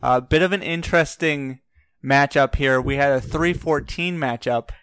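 A man's voice: mid-range voice, normal speed, fairly bright tone, clear, resonant tone, no room sound. A man hosting a casual trivia podcast, upbeat and joking as he questions callers. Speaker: podcast host.

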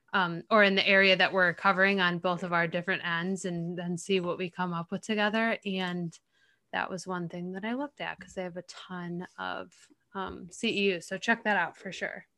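Bright, smooth-voiced chatty woman. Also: fairly low pitch, steady tone, normal speed. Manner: chatty and enthusiastic, recommends resources. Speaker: podcast co-host, speech-language pathologist. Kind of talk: casual professional podcast chat.

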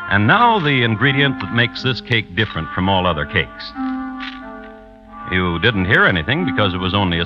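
A man hosting and narrating 1950s radio true crime in a wry, deliberate storytelling manner. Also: sits low. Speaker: host and narrator. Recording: muffled vintage radio recording. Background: music.

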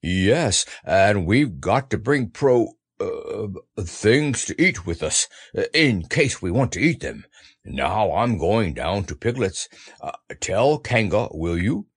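A man voicing a friendly, slow-witted toy bear in a hesitant, good-natured storybook manner. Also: on the low side, big pitch swings, slightly rough, no room sound, studio quality, pitched below the performer's own voice, exaggerated voice. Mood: earnest, muddled.

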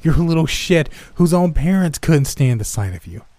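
A man's voice: on the low side, fairly even intonation, moderate pace, breathy edge, no room sound. A man voicing a vile, sneering old diner owner who mocks and belittles. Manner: mocking, belittling, loud.

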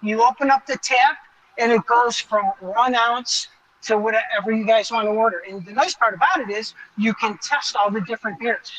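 A man's voice: very high voice, moderate pace, fairly bright tone, low-quality recording. A man giving an enthusiastic, conversational live walk-through as a restaurant reporter, explaining as he goes.

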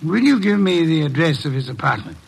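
An actor playing a stern, unhurried detective in a radio drama, with formal, measured speech and a gravelly, husky voice. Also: male voice, fairly high pitch, sing-song, full character voice, poor audio. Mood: calm, commanding. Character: heavyset, stern, unhurried genius detective, middle-aged man.

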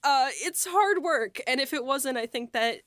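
A woman's voice: high voice, expressive, a little slow, fairly bright tone, dry recording, clean studio sound. A woman writer and producer in a casual behind-the-scenes discussion, talking in a reflective, rambling way.

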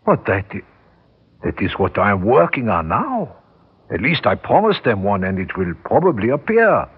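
A man's voice: lowish voice, huge pitch swings, unhurried, breathy edge, exaggerated voice. An actor playing a skeptical, bewildered male academic past his youth in a 1960s radio drama, formal, hesitant and incredulous. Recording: narrow tinny vintage radio sound.

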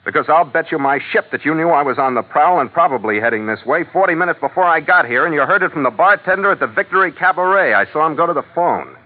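An actor playing a hard-boiled, suspicious ship's skipper in old-time radio noir style, terse and sardonic. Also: male voice, fairly high pitch, lively intonation, quick pace, few pauses. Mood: accusing. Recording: tinny vintage radio recording, faint music.

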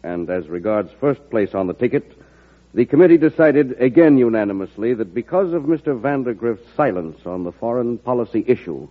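An actor playing a diplomatic, respectful state governor in an old-time radio drama, speaking in a measured, formal way. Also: male voice, mid-range voice, animated, lightly acted. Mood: careful, formal. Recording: muffled lo-fi old radio broadcast.

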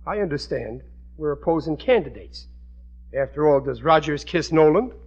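A man's voice: on the high side, expressive, normal speed. An actor playing an aggrieved, blustering middle-aged working-class husband and father in a 1940s radio sitcom, with plaintive, ungrammatical everyman speech. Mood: sulky, pleading. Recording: dull vintage radio broadcast recording.

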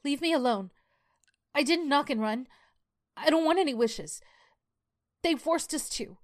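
A woman's voice: sits high, animated, a little slow, no echo, clean studio sound, voice pushed up. A woman voicing a frightened but defiant fourteen-year-old girl in a horror story, pleading urgently. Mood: desperate pleading.

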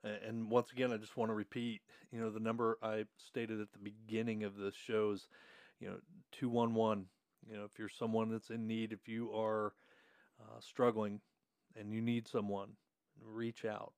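A man's voice: lowish voice, little pitch movement, normal speed, a little mellow, smooth, no room sound, studio quality. A middle-aged man hosting a podcast in a friendly, easygoing way. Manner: friendly, easygoing, laughs often. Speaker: podcast host. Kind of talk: casual podcast chat. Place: podcast room.

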